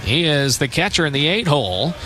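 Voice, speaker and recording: A man doing live radio baseball play-by-play, unhurried between pitches and lifting his delivery when there is action. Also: big pitch swings, bright, forceful tone. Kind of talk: live radio sports commentary. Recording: clearly noisy outdoor broadcast ambience.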